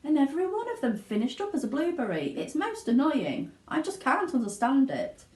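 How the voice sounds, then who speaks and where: A woman's voice: high-pitched, sing-song, a little fast, a little mellow, reverberant, pitched above the performer's own voice. A woman voicing a fussy, eccentric male sweet-maker in a storybook, plaintive, sighing and resigned.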